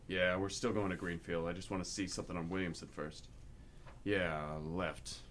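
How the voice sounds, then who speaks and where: A man's voice: low voice, little pitch movement, moderate pace, a bit bright, a little hiss or hum. A man playing a laid-back, evasive older brother home from overseas in a radio play. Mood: casual, guarded. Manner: evasive, casual, clipped answers. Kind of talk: stage-style radio play acting.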